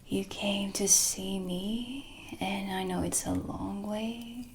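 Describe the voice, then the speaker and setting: A woman playing a mysterious, haughty dark witch who reads tarot, speaking in a deliberate, theatrical whisper for a cinematic ASMR roleplay. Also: medium pitch, steady tone, slight background noise. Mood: commanding, luring. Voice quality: whispery, creaky. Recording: music under the whispered voice. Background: dark ambient music.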